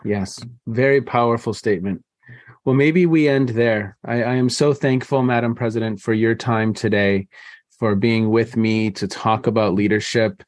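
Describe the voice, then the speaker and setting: A man's voice: on the low side, darkish tone, no room sound. A man hosting a conversational interview, appreciative and affirming, often repeating his phrases. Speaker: interview host. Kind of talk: conversational long-form interview.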